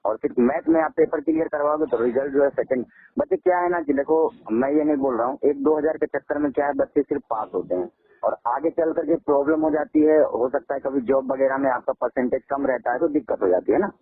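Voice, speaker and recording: A man's voice: mid-range voice, fast, breathy edge. A man on a recorded phone call, talking persuasively in a coaxing, avuncular sales manner. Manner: coaxing, often addresses listener as child. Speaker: phone caller selling exam results. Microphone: phone line.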